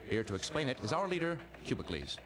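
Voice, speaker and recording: An earnest, portentous TV anchorman from a video game world of cubes, played by a man. His mid-pitched delivery swings up and down, with clear noise behind it. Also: big pitch swings, quick pace, natural voice. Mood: earnest, puzzled. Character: earnest cube-world male TV news anchor, slightly baffled. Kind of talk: mock newscast in a game cutscene.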